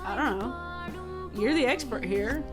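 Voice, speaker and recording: Playful woman co-streamer, bright voice. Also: fairly high pitch, lively intonation, takes it slowly. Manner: playful, teasing, laughs often. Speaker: co-host. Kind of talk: casual game stream banter. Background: game music.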